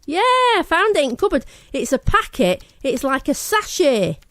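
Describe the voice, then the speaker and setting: A man voicing a young girl from West Yorkshire reading out her diary in a deadpan way. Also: very high-pitched, measured pace, no echo, voice pushed up, exaggerated voice.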